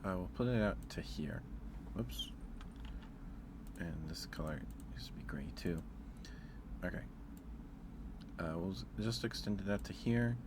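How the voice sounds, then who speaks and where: A man hosting an ASMR livestream in a soft, whispery voice, hushed and unhurried, close to the listener. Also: sits low, background noise. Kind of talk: ASMR, casual chat to live viewers.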